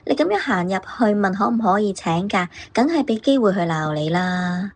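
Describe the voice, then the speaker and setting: A woman's voice: mid-range voice, animated, normal speed, a little mellow, lightly acted. A woman playing a savvy senior colleague in a radio drama, coaxing and coaching with knowing, theatrical emphasis. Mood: teasing, coaching. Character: savvy older female colleague, slyly coaching a junior. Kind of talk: Cantonese workplace radio drama acting.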